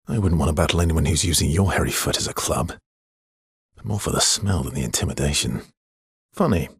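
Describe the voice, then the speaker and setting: An actor playing a wry, loyal, world-weary swordsman in a video game, with a husky, breathy voice and a dry, teasing manner. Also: a bass voice, no room sound, heavily acted.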